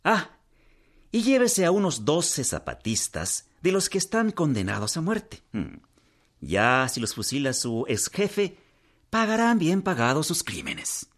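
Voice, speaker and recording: An actor with a Mexican accent playing a scheming, cold-blooded general giving calculated, menacing orders in a radio drama. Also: male voice, fairly high pitch, wildly swooping pitch, measured pace, a bit bright, full character voice. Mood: sly, commanding.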